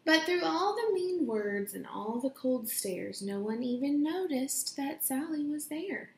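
A young woman reading a children's picture book aloud in a deliberate, expressive storytelling way, recorded in a room at home. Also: high voice. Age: young adult. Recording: roomy home echo.

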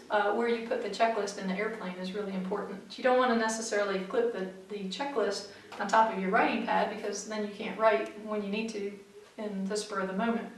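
A middle-aged woman flight instructor, calmly explaining practical tips to camera in a methodical way. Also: mid-range voice, slight background noise. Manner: clear, methodical, practical advice. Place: small studio room in front of a backdrop, seated. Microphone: far camera mic. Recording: very echoey room, mic far off.

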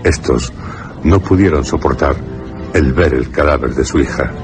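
A man's voice: rumbling low, moderate pace. A man narrating a suspense and horror radio drama in a scripted, dramatic style. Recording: radio drama with loud music behind. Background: suspense music.

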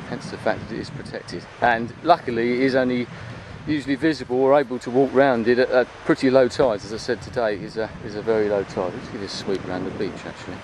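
Man chatting over outdoor noise. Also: mid-range voice. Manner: chatty, rambling. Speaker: vlogger filming a beach walk. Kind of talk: casual, unscripted walking commentary. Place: open beach at low tide. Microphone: handheld camera's built-in microphone. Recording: outdoor handheld recording, clear wind and water noise.

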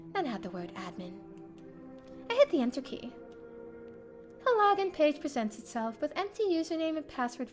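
A woman streamer reading a visual novel aloud as first-person narration, expressive and theatrical. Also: high voice. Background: game music.

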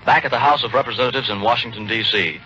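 A man's voice: medium pitch, fast talker, sharp tone, highs cut off, a little hiss or hum. An American man announcing live as a radio host on a 1940s broadcast.

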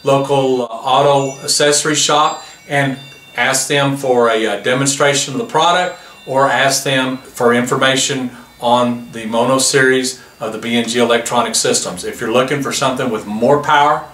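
Male product presenter, 50s, forceful bright tone, recorded off-mic in a roomy space. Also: mid-range voice, steady tone, normal speed. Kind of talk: product demonstration, explanatory. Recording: roomy, distant mic, faint background noise.

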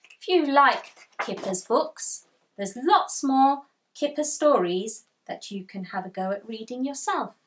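A woman in her thirties reading a picture book aloud to children, expressive and deliberate, as a storytime host. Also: on the high side, low-quality recording. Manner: expressive, deliberate, asks listeners questions. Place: small furnished room indoors with bookshelves.

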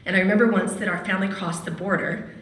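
A woman's voice: mid-range voice, steady tone, fast talker, a bit bright. Echoey woman telling her story at a podium. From Canada. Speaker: radio host telling a personal story. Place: large hall. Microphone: podium mic from a distance. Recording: echoey hall, mic at a distance.

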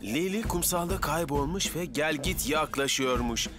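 An actor voicing an eager, good-natured young firefighter in a cartoon dub, earnest in manner. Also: male voice, on the high side, moderate pace, lightly acted. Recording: loud music behind the voice.

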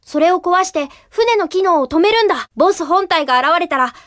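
Game role: helpful young boy fox guide, very high and quick, upbeat, recorded close and dry. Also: expressive, fairly bright tone, no echo. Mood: reassuring, urging. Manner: friendly, clear step-by-step explaining, reassuring. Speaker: tutorial guide. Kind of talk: scripted video game hint narration.

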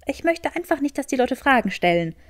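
A woman's voice: high-pitched, sing-song, a little fast, pitched above the performer's own voice. A woman voicing an exasperated, bossy young woman who speaks in a snappy, indignant way in an audiobook story.

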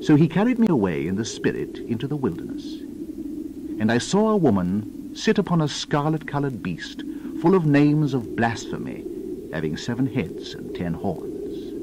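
A man narrates scripture in a solemn, dramatized style. His medium-pitched voice rises and falls expressively with deliberate, theatrical emphasis. There is clear background noise behind him. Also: sing-song. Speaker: Bible narrator.

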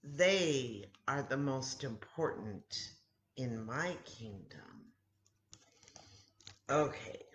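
An older woman, around her sixties, talks to young children as a Bible story time teacher. Her voice sits on the low side and swings expressively through simple phrases. She goes very slowly, leaving room between thoughts. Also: fairly bright tone, slight background noise. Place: room at home beside a kitchen, indoors. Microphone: laptop or webcam mic at arm's length. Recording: roomy, echoey home webcam sound.

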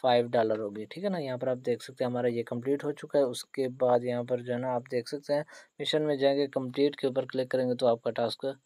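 A man's voice: mid-range voice, fairly even intonation, fast talker, darkish tone, no room sound. A man hosting an online tutorial, narrating step by step in an instructional way with frequent tag questions. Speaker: host of an online earning tutorial channel. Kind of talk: screen-recorded tutorial narration.

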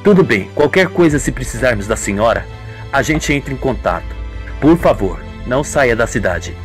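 A man's voice: medium pitch, expressive, moderate pace, faint music behind, pitched below the performer's own voice, natural voice. A man playing a formal, probing police investigator in an audio soap opera. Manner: formal, questioning, dry.

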